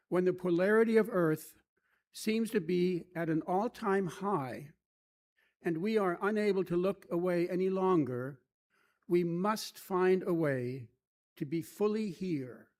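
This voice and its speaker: A man leads a church service with a reflective reading. His voice sits high for a man and has a mellow, dark softness. He moves slowly, setting each phrase down deliberately with pauses between. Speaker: service leader giving an opening reading. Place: church service, speaking to a congregation.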